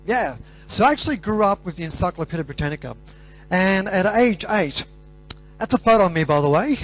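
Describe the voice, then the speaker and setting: A man's voice: high voice, fairly quick, faint music behind, rough recording. A man with an Australian accent giving a motivational talk to students and asking the audience questions. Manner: energetic, asks the audience questions. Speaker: motivational speaker.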